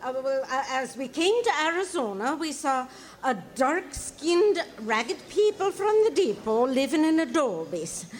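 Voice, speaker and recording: A woman's voice: high voice, very animated, a little slow. A woman playing a frightened, prim nun in a radio drama, stammering anxiously as she testifies. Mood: flustered, anxious.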